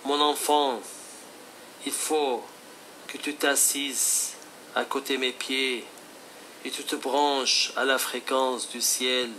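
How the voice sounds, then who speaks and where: A man plays Jesus on a telephone in a religious skit, a fatherly divine teacher who instructs solemnly. The voice is forceful and bright, with a very slow delivery. Light air-conditioning noise sits behind it. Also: mid-range voice, little pitch movement, low-quality recording. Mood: solemn, instructive. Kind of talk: scripted religious phone dialogue.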